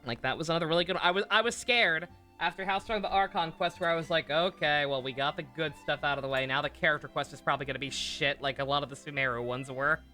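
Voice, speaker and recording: A bright, forceful man chats casually as a game streamer. He rambles with enthusiasm, and faint music plays behind him. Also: on the high side, quick pace, few pauses. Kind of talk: casual livestream commentary.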